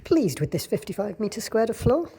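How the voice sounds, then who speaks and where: A woman's voice: on the high side, sing-song, normal speed. A woman delivering scripted narration in short, clipped phrases.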